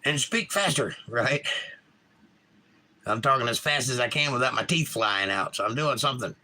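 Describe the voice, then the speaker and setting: A man's voice: mid-range voice, animated, normal speed, sharp tone. A man with a Georgia accent hosting a casual live stream, rambling and reading chat comments aloud from a home setup.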